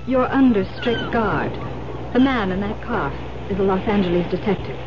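A woman's voice: mid-range voice, lively intonation, moderate pace, natural voice. A woman playing an urgent, persuasive but guarded police detective in an old-time radio drama. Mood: guarded, hesitant. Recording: muffled, noisy old radio broadcast recording.

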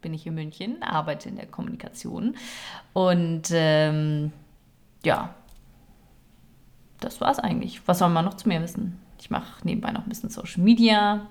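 A young woman co-hosting a casual podcast, chatty and quick to laugh. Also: on the low side, darkish tone. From Germany. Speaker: podcast co-host. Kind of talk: casual chat.

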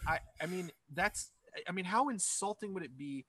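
A man's voice: sits high, lively intonation, fairly quick, pushed and bright. A man hosting a casual interview, rambling and joking at himself. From Scotland. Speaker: host.

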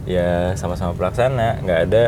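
Low-voiced man musing over loud rumble. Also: fairly quick. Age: 30s. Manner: thoughtful, hesitant, many fillers. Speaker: podcast host. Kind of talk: reflective solo talk.

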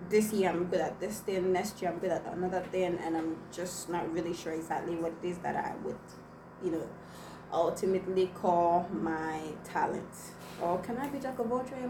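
A young woman whose first language is Igbo, chatting to viewers as she tells a personal story with many fillers. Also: fairly low pitch. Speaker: vlogger. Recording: echoey room, mic at a distance, noisy.

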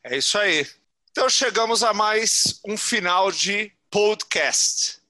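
A Brazilian man hosts a podcast and keeps adding short tag questions. His voice is high and sharply bright. The recording is dry, with almost no room sound. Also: expressive, takes it slowly, dry recording. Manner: affirming, frequent tag questions. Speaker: podcast host. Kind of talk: podcast interview.

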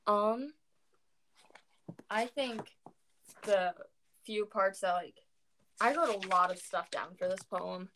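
A woman's voice: medium pitch, slow, long pauses, a bit bright, a little hiss or hum, poor audio. A young woman chatting casually about poetry, rambling with many fillers and self-corrections, sounding tired. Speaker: co-host discussing poetry. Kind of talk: casual chat.

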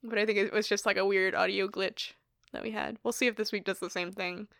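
A woman's voice: medium pitch, fairly even intonation, a little fast, vocal fry, fairly bright tone, dry recording, studio quality. A young woman co-hosting a podcast, chatting casually, saying "like" often and laughing easily. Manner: chatty, laughs a lot, lots of "like". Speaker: podcast co-host. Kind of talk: casual chat.

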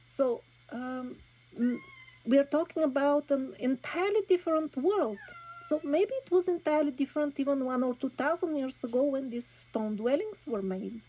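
Woman speaking haltingly, dark tone. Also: high voice, highs cut off. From Bulgaria. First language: Bulgarian. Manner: halting, thoughtful, many pauses and restarts. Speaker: guest. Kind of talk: casual interview talk.

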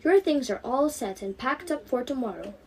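Young woman as a high-voiced, motherly aunt. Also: expressive, moderate pace. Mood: coaxing, kind. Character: caring, cheerful aunt who looks after a girl.

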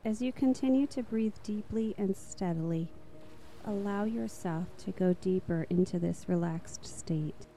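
A woman narrating a guided meditation in a soft, slow, soothing manner. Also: medium pitch, vocal fry.

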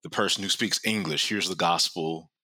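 Guest scholar, male, very deep, crisp bright edge, earnest teaching tone. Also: moderate pace, no echo. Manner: earnest explaining, laughs often. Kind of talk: conversational teaching.

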